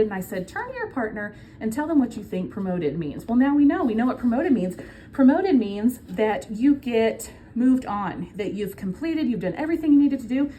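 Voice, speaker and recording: A woman's voice: medium pitch, mellow, a little hiss or hum, poor audio. A woman reading a children's book aloud like a teacher, explaining simply and prompting her listeners with questions.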